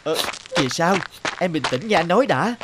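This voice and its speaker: A man playing an earnest young villager, a devoted son and suitor, speaking respectfully in an animated folk tale. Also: fairly high pitch, a little fast, heavy background noise, natural voice. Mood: gently reassuring. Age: young adult.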